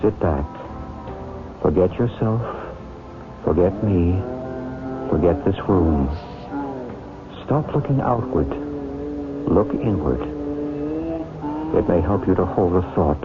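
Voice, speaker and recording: Thin old broadcast with music behind: low-pitched male psychic healer, calm, probing authority, radio-play delivery. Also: slow pace, long pauses. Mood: hypnotic, commanding. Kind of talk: old-time radio drama acting. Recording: tinny, band-limited old radio recording.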